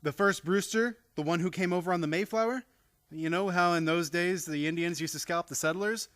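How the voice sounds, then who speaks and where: An actor playing a frantic, exasperated nephew in a radio play, agitated and flustered. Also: male voice, high voice, fairly quick, a bit bright. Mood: gloomy earnest.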